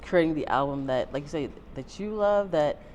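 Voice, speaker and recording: A woman's voice: low-pitched, lively intonation, moderate pace, a little mellow, lo-fi sound. A woman hosting an interview, asking questions and backing the guest with many short affirmations. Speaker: host. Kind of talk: casual interview chat. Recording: faint background noise.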